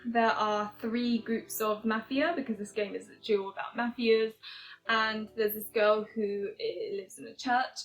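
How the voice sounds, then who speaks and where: Young English woman, higher register, casual and hesitant review chat. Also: fairly even intonation, normal speed, faint music behind, low-quality recording. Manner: chatty, hesitant with many fillers and restarts. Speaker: game reviewer.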